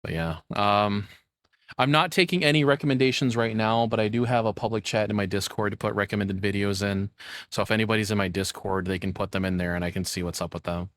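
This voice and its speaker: A man with a lowish voice talks casually on a livestream. He is informal and sweary, rambling from one remark to the next. The sound is dry and close. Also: steady tone, fast, a bit bright, no echo. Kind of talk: casual reaction stream chat.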